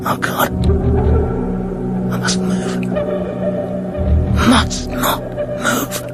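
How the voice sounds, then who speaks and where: An actor playing a suave British secret agent in a radio drama, with clipped, terse delivery. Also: male voice, drawn-out words. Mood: terrified. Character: suave British male secret agent narrating his own danger. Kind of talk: radio drama acting, terse first-person narration. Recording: music behind the voice.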